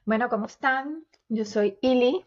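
A Venezuelan woman in her forties co-hosting a podcast, chatting casually and warmly with fillers and restarts. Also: on the high side, unhurried, lo-fi sound. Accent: Venezuelan Spanish. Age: 40s. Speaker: podcast co-host. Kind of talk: casual chat.

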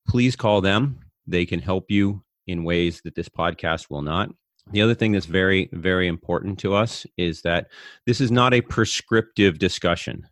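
Earnest American podcast host, low voice. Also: male voice, dry recording. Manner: earnest, measured, speaks slowly when reading out numbers. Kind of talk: podcast interview chat. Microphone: video call.

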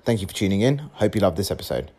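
A man's voice: low-pitched, animated, dark tone, soft background music. Upbeat man hosting a podcast. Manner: upbeat, chatty, quick short replies. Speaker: podcast host. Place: inside a luxury house.

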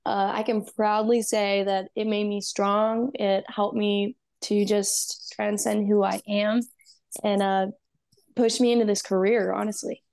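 A woman's voice: mid-range voice, little pitch movement, unhurried, creaky, a little mellow. A young woman from Texas, a musician guest talking earnestly and upbeat, with many "um" and "you know". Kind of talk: casual interview.

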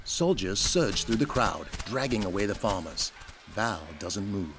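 A low-voiced man narrates an audio drama with a very lively, varied delivery. He reads scripted scene descriptions over clearly audible background noise. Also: a little slow.

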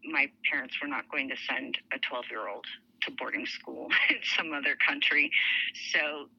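A woman's voice: a bass voice, fairly even intonation, moderate pace, breathy edge, dull audio. A woman in her forties from Lebanon and Saudi Arabia, a podcast guest chatting casually about her life with fillers. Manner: chatty, with fillers and light laughs. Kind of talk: casual interview storytelling.

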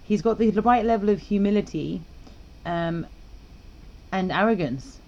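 A woman's voice: medium pitch, moderate pace, dark tone, lo-fi sound. A woman co-hosting a podcast in casual, teasing, opinionated chat. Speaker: podcast co-host.

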